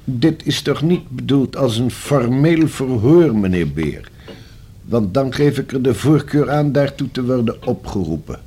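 A male actor in a Dutch radio play as a guarded, discreet professional man, speaking in a stiff, formal, measured way with a husky voice. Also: medium pitch, expressive, dark tone, slight background noise, natural voice.

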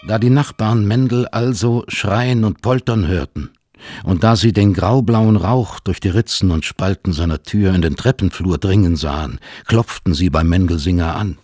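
Deep, husky male narrator, close and dry. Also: normal speed, darkish tone, no echo, faint music behind. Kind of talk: scripted literary narration.

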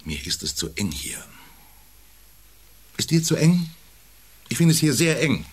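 An actor playing a domineering, self-important husband around forty who needles and belittles in an intimate German radio play. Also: male voice, fairly high pitch, very animated, unhurried, breathy edge, full character voice. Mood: needling, jealous. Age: 40s. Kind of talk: intimate radio drama dialogue.

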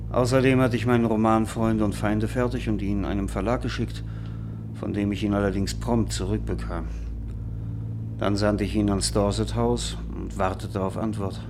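A man's voice: lowish voice, noisy background, natural voice. A man acting in a German radio play as a wistful American novelist who talks in a reflective, storytelling way. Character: wistful American novelist reminiscing about his lost marriage.

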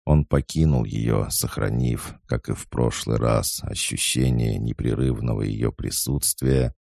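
A male audiobook narrator reading a classic novel in a measured, even way. His voice sits extremely low, heavy with gravel and dark in tone. The recording is dry and close to the mic. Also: dry recording.

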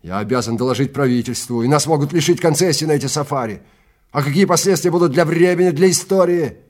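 A man's voice: a little high, quick pace, a little mellow, pitched above the performer's own voice, natural voice. A man performs a domineering time-safari guide from a science fiction audio play. He is harsh and threatening, barking orders and cursing. His voice climbs well above the narrating register. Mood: alarmed, furious.

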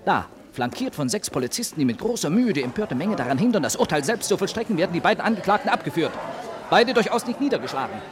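An actor playing a dramatic courtroom reporter in a radio play, solemn and declamatory, with a crowd murmuring behind him. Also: male voice, sits high, big pitch swings, fast. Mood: urgent, outraged. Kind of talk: scripted live-report narration in radio drama.

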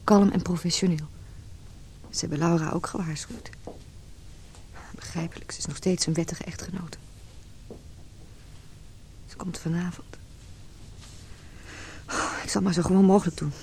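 A woman's voice: fairly low pitch, fairly even intonation, normal speed, pauses often, a little mellow, a little hiss or hum, natural voice. An actress playing an anxious, lovelorn businesswoman, a married man's mistress, in an emotional Dutch radio play. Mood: frightened, hushed. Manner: emotional, inner monologue and tense dialogue.

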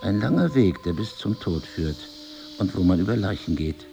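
An actor playing a married crime novelist in an intimate drama, husky and articulate, probing, cynical and wounded, with deliberate literary phrasing. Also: male voice, low voice, animated, warm tone, soft background music. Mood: cynical, declamatory. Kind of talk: intimate two-person stage drama dialogue.

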